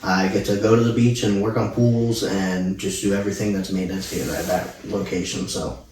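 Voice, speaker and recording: A man in his mid twenties chatting informally and a little haltingly as co-host of a vlog. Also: low voice, little pitch movement, darkish tone, a little hiss or hum. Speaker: vlogger co-host. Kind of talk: casual vlog chat, Q&A. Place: home studio room, indoors. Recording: echoey room, distant mic.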